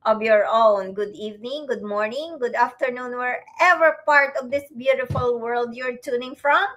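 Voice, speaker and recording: A middle-aged woman with a Filipino accent hosting a livestream, talking to viewers in a reflective, halting way. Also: fairly high pitch, a bit bright, muffled recording. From Philippines. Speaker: host, online business owner.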